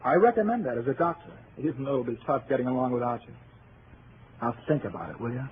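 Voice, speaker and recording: An actor playing a brisk, patronizing male doctor, insistent and persuasive, in old-time radio drama style. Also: mid-range voice, animated, natural voice. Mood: insistent, advising. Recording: tinny, hissy old radio broadcast.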